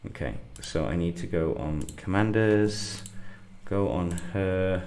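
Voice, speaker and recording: A middle-aged man, getting over a cold and a bit sniffly, talking through a game on a livestream in a halting way, thinking aloud with lots of fillers. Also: sits low, a little mellow. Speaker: gaming livestream host. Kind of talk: casual gameplay commentary.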